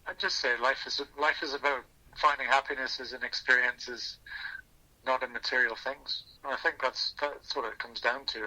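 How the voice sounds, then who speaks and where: A man speaking as an interview guest, thinking aloud earnestly and reflectively, often restarting his phrases, over a phone call. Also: mid-range voice. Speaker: podcast guest. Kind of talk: reflective personal storytelling in an interview. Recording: thin, bright telephone line.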